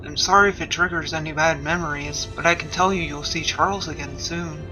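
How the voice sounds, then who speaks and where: A woman's voice: low voice, steady tone, a little fast, natural voice, poor audio. A woman voicing a considerate, curious disembodied voice inside a hero's head in a comic fan dub. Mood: curious, careful. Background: music.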